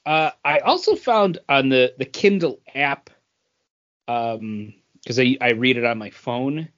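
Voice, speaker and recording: A man talks through a review in a casual, conversational way as a podcast co-host. His delivery is halting, stopping to find words. His mid-pitched voice comes through a somewhat muffled, lo-fi recording. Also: lively intonation, fairly bright tone.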